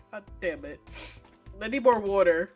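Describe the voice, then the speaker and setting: A woman live streaming a visual novel, reacting in casual, slangy, excitable commentary with plenty of swearing and loud exclamations. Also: medium pitch, takes it slowly, soft background music. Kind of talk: game commentary, casual reactions between reading aloud.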